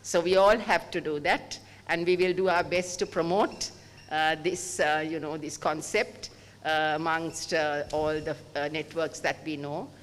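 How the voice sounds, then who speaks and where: A man's voice: on the high side, steady tone, a little slow, a bit bright. Sri man giving closing panel remarks. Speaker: chairman. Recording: reverberant hall with faint noise.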